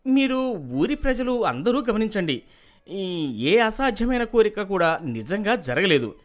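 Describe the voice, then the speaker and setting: A man voicing an earnest, city-educated young man in an animated moral story, confident and questioning. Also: high-pitched. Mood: defiant.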